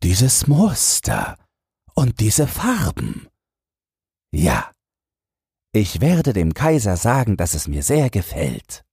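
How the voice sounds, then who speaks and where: Storybook old minister, acted by a male narrator: anxious, hesitant, drawn-out words, husky and raised in pitch. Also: huge pitch swings, a little mellow, no echo, clean studio sound, full character voice. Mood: flustered flattery. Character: anxious, flustered honest old minister, eager to please.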